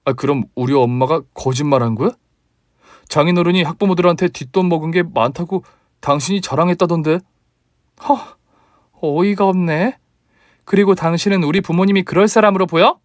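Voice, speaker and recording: A man's voice: a little high, moderate pace, no echo, voice pushed up, natural voice. A man voicing a young wife who is polite to her in-laws but stands firm and indignant, in a raised, lighter voice.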